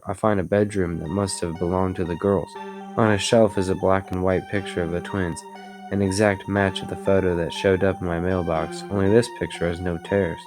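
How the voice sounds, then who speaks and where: A man's voice: sits low, lightly acted. A man acting as the haunted narrator of a gothic audio drama, telling it in the first person, grave and suspenseful. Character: haunted, desperate male narrator of a gothic tale. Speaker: narrator and protagonist.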